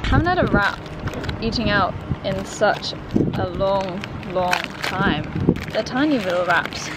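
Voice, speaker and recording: A young woman chatting casually to a handheld camera at arm's length, bubbly and quick with asides. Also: medium pitch, animated, very noisy. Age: young adult. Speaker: vlogger. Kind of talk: casual vlog chat. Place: outdoors in a town, lawns and streets.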